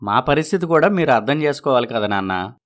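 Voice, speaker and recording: A man's voice: mid-range voice, expressive, fast talker, lightly acted. A man playing a dutiful adult son in a moral story, apologetic and placating in manner.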